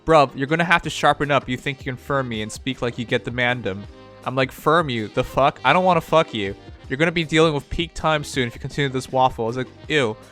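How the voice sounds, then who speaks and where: A man's voice: mid-range voice, quick pace, fairly bright tone, faint music behind. A man from Canada with a slight Chinese accent, who speaks English as a second language, hosting a livestream and reading chat messages aloud in a rambling, blunt, profane way. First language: not English. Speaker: livestream host.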